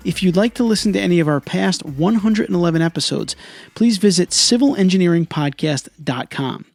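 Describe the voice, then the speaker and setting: A man's voice: high voice, animated, brisk, gravelly, a little mellow, dry recording. A man hosting an engineering podcast, reading a polished, upbeat introduction and then interviewing a guest in an office. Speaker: podcast host.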